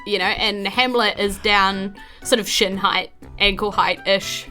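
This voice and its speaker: A woman with a New Zealand accent, narrating a tabletop role-playing game as game master in a casual, animated, chatty way. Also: medium pitch, unhurried, soft background music.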